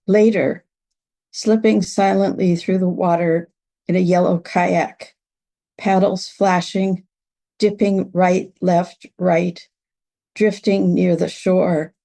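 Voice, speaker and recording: An older woman reads literary prose slowly and deliberately. Her voice is fairly low and mellow, with a clear creak in it. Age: over 60. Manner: measured, deliberate phrasing. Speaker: writer reading own work. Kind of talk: literary reading of a prose memoir.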